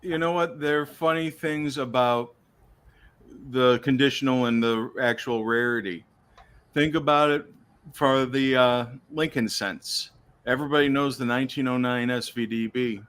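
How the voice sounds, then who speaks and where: A man of middle pitch in a casual online chat, losing his voice. He lays things out steadily and in plain detail. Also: steady tone, moderate pace, a bit bright, clean tone. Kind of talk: casual chat about coins.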